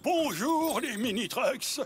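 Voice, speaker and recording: An actor voicing a jolly old grandfatherly cartoon truck with a booming, theatrical, singsong delivery. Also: animated, slow, noisy background, full character voice. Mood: jovial. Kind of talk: children's cartoon dubbing. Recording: loud music under the voice. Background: music.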